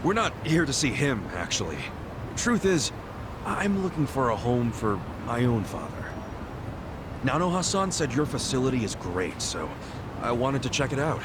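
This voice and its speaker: Casting: male actor as scrappy video game hero, blunt, lively mid-range voice, over noisy street ambience. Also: mid-range voice, normal speed, natural voice. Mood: polite, probing. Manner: informal, blunt, expressive. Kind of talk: English game dub acting.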